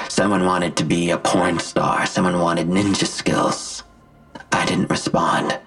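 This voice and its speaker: An actor playing a smug, menacing vigilante villain in an audio drama, articulate and self-righteous, with a gravelly, husky voice and a deliberate, taunting delivery. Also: male voice, sits low, a bit bright, exaggerated voice, poor audio. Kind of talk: full-cast audio drama acting.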